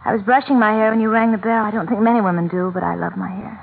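An actress playing a teasing, capricious femme fatale in an old-time radio drama, coy, breathy and intimate. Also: female voice, medium pitch, fairly even intonation, fast talker, narrow, tinny audio, natural voice. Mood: seductive. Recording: noisy, band-limited old radio recording.

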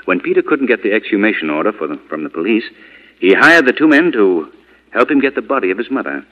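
An actor playing a commanding, deliberate male vigilante crime fighter in 1940s radio drama style. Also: fairly low pitch, big pitch swings, fairly bright tone, dull audio. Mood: grave, explanatory. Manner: authoritative, urgent, deliberate.

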